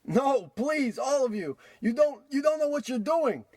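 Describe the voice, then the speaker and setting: A man's voice: very high-pitched, very animated, a little slow, darkish tone, no room sound, exaggerated voice. A man playing an exasperated small-time crook in a parody old-time radio play, whining and pleading in old gangster slang. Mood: desperate pleading.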